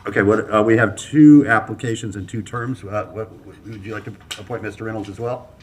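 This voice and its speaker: A man's voice: lowish voice, breathy edge. A man chairing a city commission meeting as mayor, moving through appointments with many ums and uhs. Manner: halting, many fillers, brisk procedural. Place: council chamber.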